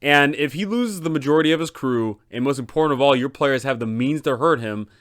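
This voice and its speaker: Clear-voiced man explaining tabletop villains. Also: mid-range voice, a little fast, a bit bright. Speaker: host, tabletop game guide. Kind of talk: explanatory commentary for game masters.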